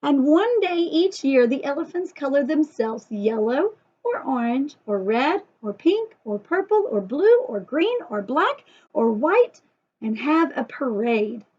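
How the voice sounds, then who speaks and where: Female children's storyteller, high-pitched and unhurried, sing-song and expressive, on a dull lo-fi recording. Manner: expressive, sing-song, asks listeners questions. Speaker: storyteller and teacher for young children. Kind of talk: read-aloud children's story with chatty asides to young viewers.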